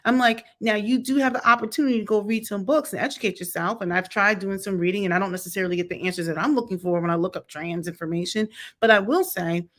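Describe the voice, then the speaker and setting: A woman's voice: medium pitch, fast talker, a bit bright. Blunt chatty middle-aged woman. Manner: chatty, candid, blunt, with mocking asides. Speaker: vlogger reviewing a TV show. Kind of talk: casual opinion commentary.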